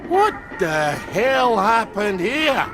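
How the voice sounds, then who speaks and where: High, swooping blustering ex-soldier. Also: male voice, very animated, takes it slowly, music in the background, heavily acted. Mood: indignant. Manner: blustering, sweary, theatrical. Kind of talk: video game cutscene acting.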